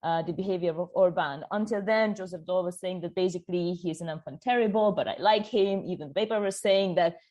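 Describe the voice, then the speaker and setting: A woman's voice: on the low side, brisk, few pauses, a little mellow. A young woman with a Hungarian accent putting questions at an academic seminar in a polite, somewhat hesitant way. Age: young adult. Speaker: audience questioner, PhD student.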